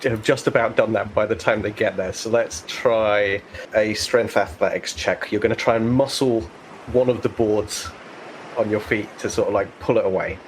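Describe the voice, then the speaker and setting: A man with a fairly low voice acts as game master. He narrates and explains in a conversational way, pausing to think aloud. There is faint steady background noise. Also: low-quality recording.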